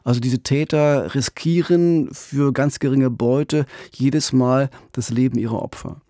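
A man's voice: medium pitch, unhurried, warm tone, no room sound. A middle-aged man hosting a true-crime podcast in a conversational style, close on a studio microphone. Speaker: podcast host. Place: small radio studio. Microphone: close studio microphone with pop filter.

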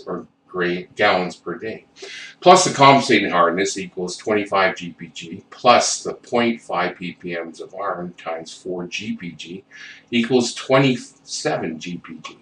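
A man's voice: on the low side, fairly bright tone. A man narrating an instructional plumbing video, reading technical figures step by step with the odd self-correction. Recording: roomy, distant mic with faint noise.

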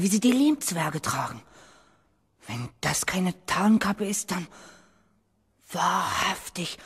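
Slow-speaking, secretive little schoolboy. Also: medium pitch, breathy edge, no echo, studio quality, exaggerated voice. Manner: slow, halting, sounding out words as he reads and writes. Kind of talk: 1970s German children's radio play acting.

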